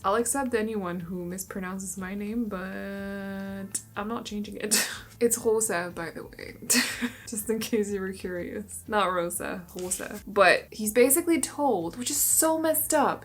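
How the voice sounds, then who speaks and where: A young woman from Denmark, Danish by birth, chatting casually to camera as a book vlogger, tired and rambling with frequent laughs. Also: medium pitch. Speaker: booktuber.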